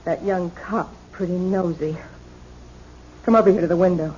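A man playing a cold, calculating plotter in 1970s radio drama style, measured and terse. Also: high voice, fairly even intonation, darkish tone, close to an everyday voice. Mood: cold, guarded. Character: cold, calculating co-conspirator in a murder plot. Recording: muffled, noisy 1970s radio broadcast.

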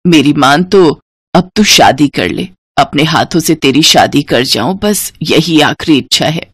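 A woman voicing a frail, self-sacrificing poor old mother in a cartoon story, with slow, sorrowful pleading. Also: deep voice, animated, a bit bright, breathy edge, dry recording, clean studio sound, voice pushed down, heavily acted. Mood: weak, pleading.

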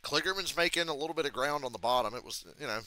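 A man calling a stock car race live for his viewers, chatty and running through the action as it happens. His voice sounds bright and forceful, with a slight hoarseness left over from a cold. Now and then he breaks into sarcastic jabs at the broadcast. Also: on the high side, racing, poor audio. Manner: running play-by-play, occasional sarcastic outbursts. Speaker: live race reaction streamer. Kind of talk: casual live race commentary.

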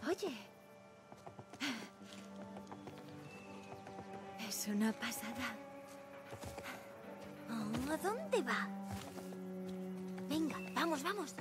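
A woman's voice: sits high, big pitch swings, takes it slowly, pauses often, exaggerated voice. An actress playing an earnest, spirited teenage girl survivor in a Spanish video game dub, with a Castilian accent and youthful, informal manner. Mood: awed. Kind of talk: dubbed video game dialogue. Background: music.

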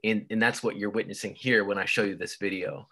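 A man's voice: on the low side, steady tone, a little fast, sharp tone, breathy edge. A man giving a university lecture over slides, explaining at length and often restarting with repeated words. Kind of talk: recorded online lecture.

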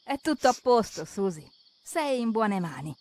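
A woman's voice: medium pitch, very animated, normal speed, creaky, a little mellow, a little hiss or hum. A woman narrating a children's cartoon, expressively asking questions and talking straight to the characters.

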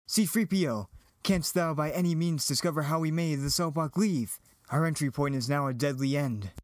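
An earnest young hero, played by a man declaims in verse. The voice is fairly high for a man and recorded quite dry. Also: moderate pace, no room sound, natural voice. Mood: worried. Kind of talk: amateur dramatic reading in Shakespearean verse.

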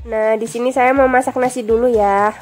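Woman, young, stuffy-nosed, higher voice, friendly, chatty, soft background music. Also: fairly even intonation, moderate pace. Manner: chatty, friendly, addresses viewers directly. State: stuffy nose from a cold. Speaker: vlogger. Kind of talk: casual voice-over narration.

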